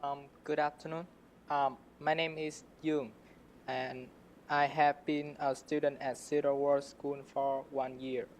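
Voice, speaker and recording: A man's voice: a little high, little pitch movement, unhurried, slight background noise. A male student from Vietnam giving a hesitant self-introduction with fillers.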